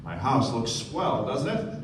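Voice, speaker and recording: A man's voice: medium pitch, huge pitch swings, takes it slowly. An actor playing a nervous, eager, genteel rich man with clipped politeness in a radio play. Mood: eager, boastful. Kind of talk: live radio play acting. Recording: very echoey, distant, noisy stage recording.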